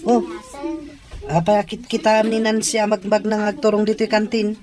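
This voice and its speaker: A woman's voice: mid-range voice, monotone, moderate pace, a crowd in the background, close to an everyday voice. A woman playing a mocking, boastful male student and romantic rival in an audio drama, with a taunting manner. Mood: nagging.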